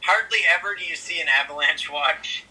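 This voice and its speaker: Very bright-voiced man on a video call. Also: fairly high pitch, brisk, low-quality recording. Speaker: helper guiding setup over a video call. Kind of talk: casual instructional chat. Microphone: video call heard through a device speaker.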